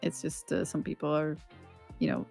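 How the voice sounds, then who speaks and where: A woman's voice: low-pitched, wildly swooping pitch, normal speed, creaky, a little mellow, faint music behind. Giggly Swedish woman, rambling chat. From Sweden. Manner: rambling, giggly, many fillers. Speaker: streamer guest. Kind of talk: casual interview chat.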